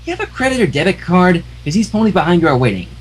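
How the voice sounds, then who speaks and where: A man's voice: sits high, sing-song, racing, breathy edge, heavily acted. A man voicing a plain-spoken store cashier pony in a cartoon fanfiction, matter-of-fact with customers. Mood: annoyed. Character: fed-up but friendly young store cashier pony. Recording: noisy home livestream microphone.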